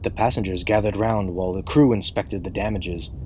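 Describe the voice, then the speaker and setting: A man's voice: low-pitched, fairly even intonation, fairly quick. A man narrating a scripted children's story in a theatrical, emphatic storytelling manner. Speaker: storybook reader. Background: music.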